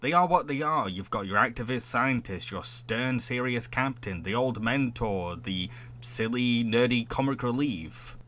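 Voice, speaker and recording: A man's voice: mid-range voice, unhurried, bright, forceful tone. A man hosting a casual film review podcast, opinionated and mocking in manner. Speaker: podcast host.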